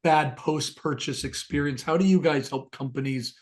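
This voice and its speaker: A man hosting an interview, upbeat and affirming toward his guest. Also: a little high. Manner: upbeat, affirming, quick to laugh. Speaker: interview host.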